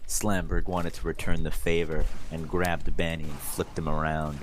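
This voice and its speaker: A man with a very low voice reads amateur fiction aloud in a theatrical, mock-serious way. The recording has clear background noise, as heard over a group voice-chat stream. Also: flat delivery, moderate pace. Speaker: reader of fan fiction. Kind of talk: dramatic storybook-style reading. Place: online voice chat during a live stream.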